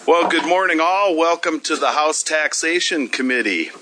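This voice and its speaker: Bright-voiced, easygoing committee chairman. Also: on the high side. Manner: easygoing, folksy, many filler pauses. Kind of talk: chairing a legislative hearing. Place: legislative committee hearing room.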